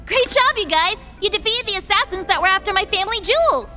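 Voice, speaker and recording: A woman's voice: very high voice, animated, quick pace, sharp tone, soft background music, full character voice. A woman playing a breezy, oblivious, casually ruthless young heiress in a comedic anime parody. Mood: cheerful.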